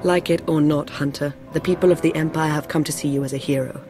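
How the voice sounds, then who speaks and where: An actress playing a cool, shrewd Imperial intelligence officer, speaking in a dry, measured way. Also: female voice, low voice, fairly even intonation, over music, close to an everyday voice. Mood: firm, earnest.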